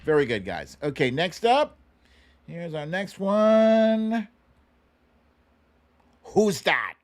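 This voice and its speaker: Guy hosting a live online quiz, playful n chatty, unhurried, pretty dry sound. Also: high-pitched, very animated, no room sound. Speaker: host of a live online show. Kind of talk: casual livestream quiz banter, reading out viewers' names.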